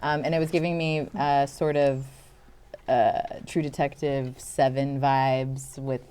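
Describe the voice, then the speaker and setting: A woman's voice: very deep voice, level tone, a little slow, dark tone, smooth. A woman giving casual feedback as a member of a discussion panel. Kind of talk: casual critique.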